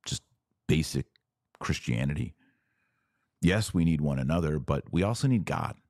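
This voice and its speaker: A man's voice: rumbling low, animated, normal speed, darkish tone, no room sound, clean studio sound. A man hosting a religious commentary podcast, reading text aloud and remarking on it in an emphatic, questioning manner.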